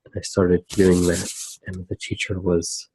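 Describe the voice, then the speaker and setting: A man giving soft-spoken ASMR commentary as he draws, musing aloud in a hesitant way with pauses. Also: low-pitched, monotone, a little hiss or hum, rough recording. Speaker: host of an ASMR drawing channel.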